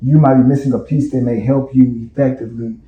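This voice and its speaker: A man hosts a casual interview, talking things through in a conversational way. His voice is warm and mellow. The sound is dull and echoes in a roomy space. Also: medium pitch, steady tone, quick pace. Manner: conversational, with frequent affirming backchannels. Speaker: host.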